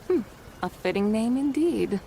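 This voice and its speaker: A woman's voice: on the high side, lively intonation, unhurried, creaky, heavy background noise. A woman voicing an ancient, proud and mischievous horse spirit in a video game, with grandiose, archaic phrasing. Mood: stately, musing. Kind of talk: video game character dialogue. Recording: game music behind the voice.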